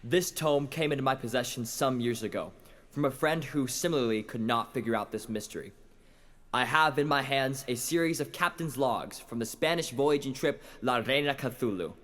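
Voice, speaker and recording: Audio drama lecturer male actor: earnest, puzzled, formal, deliberate, mid-range voice. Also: mid-range voice, a bit bright, lightly acted. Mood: earnest, intrigued. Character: puzzled, earnest lecturer presenting a maritime mystery. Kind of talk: scripted audio drama monologue.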